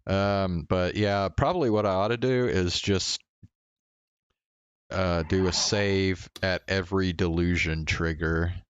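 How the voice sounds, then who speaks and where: Slow, low-voiced man streaming a game. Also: steady tone, smooth, no echo, muffled recording. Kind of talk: casual game commentary.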